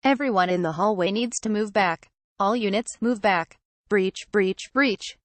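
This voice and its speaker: Lady news reader, kinda synthetic, mid pitch, reads script straight, super dry sound no echo. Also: a little slow. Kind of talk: scripted news narration, reading aloud.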